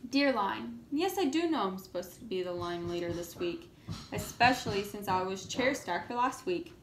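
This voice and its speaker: A woman reading as an earnest, indignant young schoolchild in a storybook, with expressive emphasis. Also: mid-range voice, normal speed, lightly acted. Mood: put out. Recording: echoey room, faint background noise.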